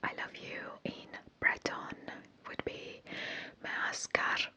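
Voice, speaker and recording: An ASMR creator whispering slowly and softly, repeating words and hesitating over pronunciations. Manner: unsure of foreign pronunciations.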